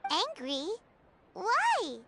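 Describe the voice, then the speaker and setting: A woman voicing a tiny, excitable, cheeky fairy sidekick in a game, in a squeaky, childlike voice. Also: extremely slow, slight background noise, exaggerated voice. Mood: surprised, alarmed. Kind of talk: English game voice acting. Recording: loud game music behind.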